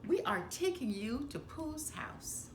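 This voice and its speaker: Woman voicing a small high-pitched eager piglet. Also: slow, roomy sound, slight background noise, full character voice. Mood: cheerful. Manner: excitable, giggly. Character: small, timid, eager male storybook piglet.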